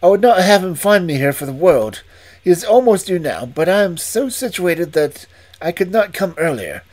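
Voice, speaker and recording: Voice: medium pitch, very animated, darkish tone. Reader doing a frightened, breathless estate manager. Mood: agitated. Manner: agitated, emphatic, rushed. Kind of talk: audiobook reading.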